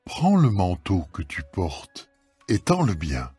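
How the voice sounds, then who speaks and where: Man playing a deep, deliberate, kindly biblical landowner. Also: wildly swooping pitch, mellow, husky, no echo, soft background music, full character voice. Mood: quiet instruction. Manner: solemn, deliberate, reassuring. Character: Boaz, kindly mature Israelite landowner, honourable and reassuring. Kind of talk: dramatised scripture reading in French.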